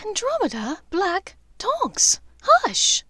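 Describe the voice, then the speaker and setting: A woman playing an amused, haughty upper-class witch mother with a crisp, teasing, exclamatory delivery. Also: very high-pitched, wildly swooping pitch, slow, sharp tone, no echo, pitched above the performer's own voice, heavily acted. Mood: astonished, sharp.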